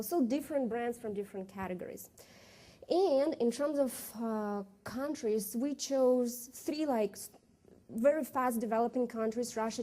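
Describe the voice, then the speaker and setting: A woman's voice: on the high side, mellow. A woman presenting market research at a conference, explaining her points with frequent fillers and restarts.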